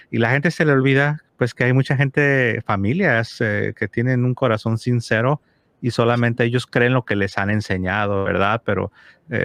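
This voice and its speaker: A man's voice: fairly low pitch, a bit bright, no echo. A man hosting a conversational interview, talking in long rambling sentences with frequent fillers and restarts. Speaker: host.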